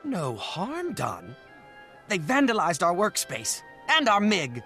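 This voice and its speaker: An actor playing an indignant, excitable young student of a small goblin-like race in a video game, wordy and full of protest. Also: male voice, sits high, takes it slowly, soft background music, heavily acted. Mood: outraged. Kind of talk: video game cutscene acting.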